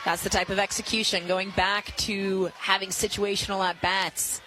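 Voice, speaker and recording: A woman giving live softball analysis as a radio color commentator, explaining plays in an analytical, coach-like way. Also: medium pitch, little pitch movement, moderate pace, poor audio.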